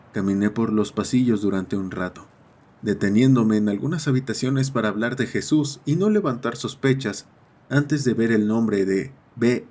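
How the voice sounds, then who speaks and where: A man playing a schoolboy who narrates his own horror story in a measured, serious first-person style. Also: on the low side, darkish tone, close to an everyday voice.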